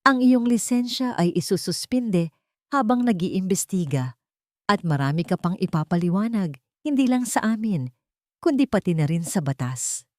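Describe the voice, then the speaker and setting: A woman's voice: medium pitch, lively intonation, normal speed, a little mellow, no echo, studio quality, natural voice. A woman voicing a stern male doctor in an audio drama, cold and formal. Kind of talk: audio drama character reading.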